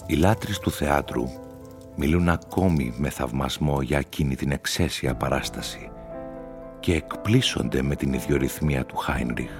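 A man narrating a literary radio play in a measured storytelling manner. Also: very deep voice, music in the background. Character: male storyteller of a gothic theatre tale.

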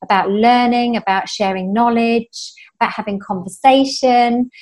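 A woman's voice: fairly high pitch, steady tone, moderate pace, a little mellow. A woman hosting a podcast interview, speaking with enthusiasm and emphasis, stringing ideas together in lists. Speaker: podcast host.

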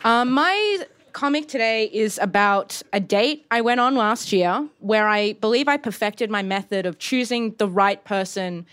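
A woman performing a comedic personal story live, wry and sweary, with deadpan asides. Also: mid-range voice, measured pace, smooth. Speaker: comic artist reading own story to an audience.

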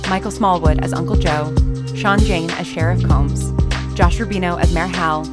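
A woman's voice: lowish voice, moderate pace. A woman reading scripted podcast credits as an announcer, with music playing behind her. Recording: loud hip hop music behind.

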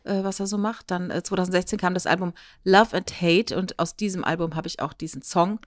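A woman's voice: fairly low pitch, steady tone, a little fast, dry recording, clean studio sound. A woman co-hosting a podcast, talking in a casual, conversational style. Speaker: podcast co-host.

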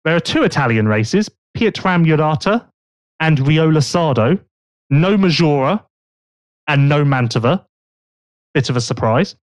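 A man's voice: a little high, expressive, a little slow, pauses often, dry recording. A man hosting a casual podcast, chatting and joking while he thinks aloud. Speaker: podcast host.

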